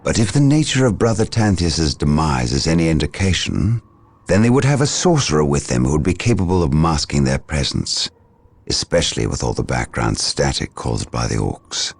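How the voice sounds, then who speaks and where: An actor playing a solemn, learned warrior advisor in a video game, speaking formally. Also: male voice, very deep voice, very animated, moderate pace, gravelly, husky, full character voice. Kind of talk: dramatic video game cutscene acting.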